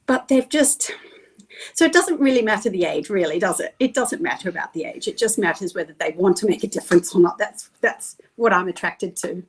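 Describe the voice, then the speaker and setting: This is a middle-aged woman speaking as an interview guest. She is enthusiastic, lingers on words for emphasis and laughs often. Her voice sits in an ordinary middle pitch. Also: lively intonation, brisk, slightly rough. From Australia. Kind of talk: casual interview conversation.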